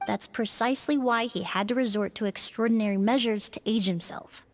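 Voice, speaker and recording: Woman acting as a calm analytical lady detective, slightly high voice, precise explanatory delivery, game dialogue. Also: faint music behind, close to an everyday voice. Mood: calm, deductive. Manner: measured, articulate, explanatory. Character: composed, analytical female perfumer investigator, calmly deducing. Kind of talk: scripted video game dialogue.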